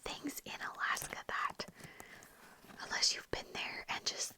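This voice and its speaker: Woman doing asmr, all breathy whispering, rambly casual chat to viewers. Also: unhurried. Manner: rambling, informal. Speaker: video host talking to viewers.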